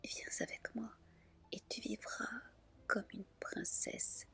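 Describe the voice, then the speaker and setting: A woman's voice: slow pace, pushed and bright. A woman voicing a bossy, stern female dragon creature in a whispered fairy tale, commanding and reproachful. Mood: coaxing.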